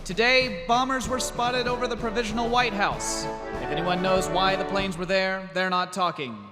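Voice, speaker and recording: A man's voice: high-pitched, fairly even intonation, normal speed, close to an everyday voice. A man acting as a knowing, cynical 1940s radio news commentator in an audio drama. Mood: grave. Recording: echoey hall, music behind.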